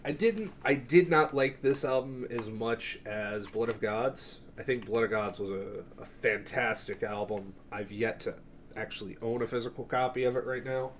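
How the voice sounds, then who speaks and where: A man with a medium-pitched voice talks casually through a show-and-tell of his collection. He rambles in an offhand way, cuts himself off and curses freely. There is some noise in the recording. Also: moderate pace. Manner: offhand, profane, self-interrupting. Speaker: music collector presenting a cassette collection.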